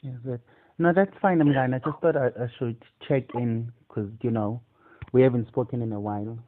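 South African male, mid-pitched, chatting casually in English and Zulu over a thin phone line. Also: moderate pace. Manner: code-switches between English and Zulu. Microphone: telephone line. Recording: tinny, narrow phone-call recording.

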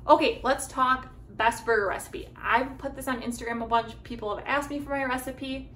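Echoey, higher-voiced American woman, chatty. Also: steady tone, moderate pace, a bit bright, far from the mic. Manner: chatty, informal. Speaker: home cooking vlogger. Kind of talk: casual chatty cooking demonstration. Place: home kitchen, some parts in a backyard garden. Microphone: phone or camera at arm's length. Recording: echoey kitchen, clear background noise.

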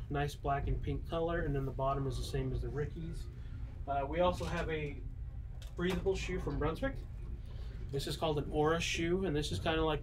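A young man casually showing bowling shoes in a small pro shop, relaxed and full of ums and uhs, heard from a camera a few meters away. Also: a little high, noisy background. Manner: easygoing, many ums and uhs, showing items in hand. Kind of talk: casual product walkthrough. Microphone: camera mic at a few meters.

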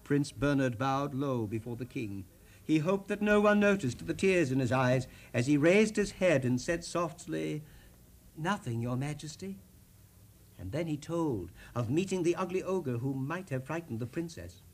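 A man hosting as storyteller, reading a children's storybook expressively with theatrical, dramatic pauses. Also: on the high side, lively intonation. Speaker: storyteller, TV host.

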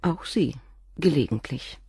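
An actress playing a composed middle-aged doctor's wife and former nurse, speaking frankly and in a measured way in a radio drama. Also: female voice, sits low, lively intonation, mellow, breathy edge, no echo, clean studio sound, heavily acted. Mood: candid. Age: 40s. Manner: measured, frank, controlled confession. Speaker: witness questioned by the inspector.

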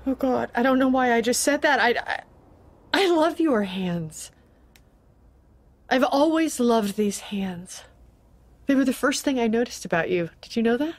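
A rattled wife in a radio drama who keeps circling back over her words. Her voice sits a little high and she speaks in a fretful, rambling way. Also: expressive, measured pace, long pauses. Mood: distraught. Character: anxious married schoolteacher woman, suddenly unsure of her love. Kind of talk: scripted radio drama acting.

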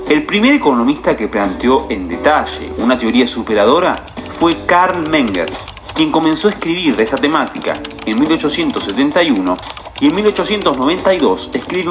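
A man in his forties giving a scripted explainer narration, posing questions and walking through ideas with an animated, questioning delivery. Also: brisk. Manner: animated, questioning delivery with wide pitch swings. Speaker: video essay presenter. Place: indoor studio with painted wall. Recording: music bed behind the voice.

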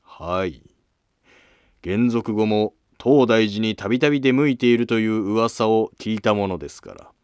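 A man's voice: on the low side, normal speed, clear, resonant tone, no echo, clean studio sound, natural voice. A man playing a polite, probing court noble in a historical drama, speaking in formal, deferential, insinuating phrases. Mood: probing, courteous. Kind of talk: scripted historical drama dialogue.

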